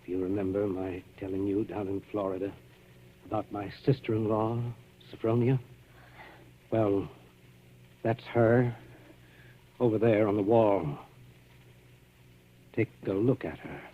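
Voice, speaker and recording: An actor playing a sinister, coaxing middle-aged suitor who hides menace, folksy, deliberate and insistent in a 1940s radio suspense style. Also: male voice, lowish voice. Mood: grim, menacing. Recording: muffled vintage radio recording.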